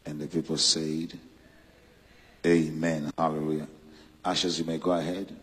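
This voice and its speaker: A man from Uganda preaching a church sermon, fervent and rising to loud proclamation. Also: very deep voice, flat delivery, measured pace, husky, long reverb tail. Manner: fervent, slips into loud shouted preaching and scripture reading. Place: church hall.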